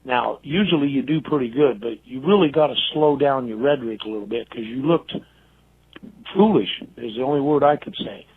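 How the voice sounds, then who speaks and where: A tinny, narrow phone line carries an American man leaving a voicemail. He is a caller with a middle-pitched voice, giving informal criticism. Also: fairly quick, slightly rough. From United States.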